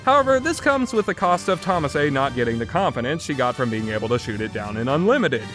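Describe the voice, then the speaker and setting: A man's voice: medium pitch, very animated, fast talker, music in the background. A man giving opinionated review commentary in a blunt, sarcastic, emphatic manner. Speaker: video game reviewer.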